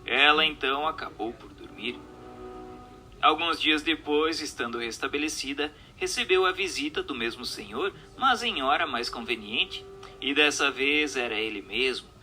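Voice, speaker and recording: A Brazilian man delivers scripted narration in a formal, measured storytelling manner. His voice sits fairly high and is strikingly bright and crisp-edged, moving in lively contours. Faint music plays behind him. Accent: Brazilian Portuguese. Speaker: narrator reading a book aloud.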